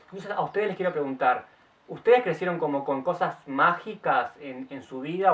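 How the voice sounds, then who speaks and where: A man's voice: on the high side, fairly bright tone, far from the mic, dull audio. Chatty Uruguayan man, a bit off-mic. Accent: Uruguayan Spanish. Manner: chatty, stop-start, self-correcting. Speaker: vlogger. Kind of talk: casual storytelling to camera. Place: outdoors in the late afternoon.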